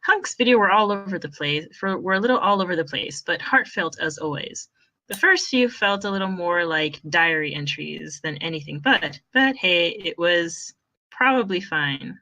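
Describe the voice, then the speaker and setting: A woman's voice: lowish voice, expressive, normal speed, bright, forceful tone, rough recording. A woman narrating fan fiction as a storyteller, in a conversational, wry way.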